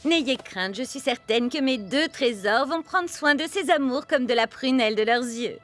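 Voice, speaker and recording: A woman's voice: sits high, expressive, moderate pace, fairly bright tone, soft background music. A woman playing an effusive, doting mother in a French cartoon dub. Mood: gushing. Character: gushing, doting mother blind to bratty children.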